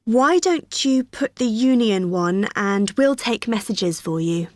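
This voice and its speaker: An actress playing a chatty, helpful student union staff member who gives advice freely in a scripted exam listening dialogue. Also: female voice, medium pitch, lively intonation, takes it slowly, darkish tone, dry recording. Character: friendly young woman at the student union desk, helpful.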